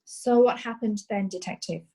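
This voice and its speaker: A woman playing a brisk, formal lawyer questioning witnesses in a comic courtroom play. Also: medium pitch, vocal fry, dark tone. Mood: formal, probing. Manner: formal, inquisitive.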